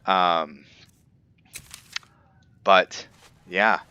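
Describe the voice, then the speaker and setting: A man giving a casual, unscripted recap of his roleplay game, telling the story in halting phrases. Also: low-pitched, fairly even intonation, muffled recording, noisy background. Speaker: roleplay gamer and narrator.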